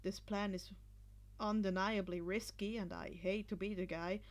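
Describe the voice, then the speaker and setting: A woman's voice: medium pitch, fairly even intonation, normal speed, pitched below the performer's own voice, natural voice. A woman voicing a cool, confident teenage schoolboy with a casual manner in an amateur story reading. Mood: doubtful. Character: cool, dry, laid-back teenage schoolboy. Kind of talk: amateur fan fiction audio reading.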